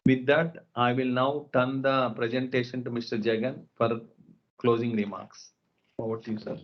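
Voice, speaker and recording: A man giving a formal, scripted financial presentation as a company executive, sometimes halting over the figures. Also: mid-range voice, flat delivery. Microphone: conference call line. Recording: dull, lo-fi conference call audio.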